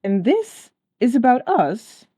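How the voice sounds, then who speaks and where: A woman's voice: fairly high pitch, very animated, slow pace, mellow, breathy edge, highs cut off, voice pushed up, heavily acted. Woman doing a prim, easily scandalised male angel. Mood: incredulous. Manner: incredulous, formal.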